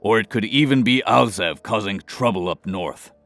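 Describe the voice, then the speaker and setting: A man's voice: on the low side, moderate pace, fairly bright tone, dry recording, pitched below the performer's own voice. A man playing a commanding, impatient king with an authoritative manner in a fantasy audio drama. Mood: stern, impatient.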